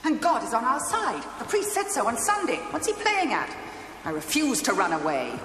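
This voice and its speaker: An actress playing an imperious, middle-aged aristocratic English widow in a television play, with an upper-class accent and clipped, fretful delivery. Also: female voice, a little high, huge pitch swings, moderate pace, a bit bright. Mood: defiant. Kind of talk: theatrical television play dialogue. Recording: echoey stone hall, faint noise.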